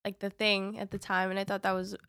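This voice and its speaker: A woman's voice: mid-range voice, brisk, smooth, dry recording, studio quality. A woman in her twenties from Queens, a native Spanish speaker, chatting casually as a podcast guest with many fillers and frequent laughs. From Queens, New York. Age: 20s. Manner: relaxed, filler-heavy, laughing often. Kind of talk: casual interview chat.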